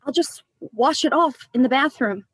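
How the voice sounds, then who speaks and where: A woman's voice: high-pitched, moderate pace, fairly bright tone, husky, no room sound. A woman playing a frightened, pleading young woman, a victim in a horror play reading. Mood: uneasy. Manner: pleading, tearful outbursts. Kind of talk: dramatic livestream script reading.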